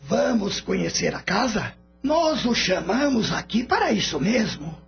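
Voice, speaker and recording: An actor playing a patient, wise spirit mentor in a radio drama, explaining things slowly and solemnly in a husky voice. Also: male voice, high voice, sing-song, full character voice. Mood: gentle, solemn. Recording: dull lo-fi audio with background music.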